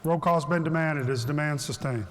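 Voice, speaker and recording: A man presiding over a legislative chamber, calling votes and recognizing members in brisk, formulaic procedure. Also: fairly high pitch, fairly bright tone, slight background noise. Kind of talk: formal parliamentary procedure. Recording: long hall reverb, faint ambience.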